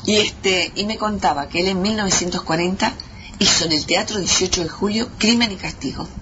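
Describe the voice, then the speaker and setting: A woman's voice: medium pitch, a little fast. A woman with a Uruguayan accent reminiscing casually as a radio guest, emphatic and repeating words for stress. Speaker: actress, interview guest. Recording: dull, very noisy old radio tape.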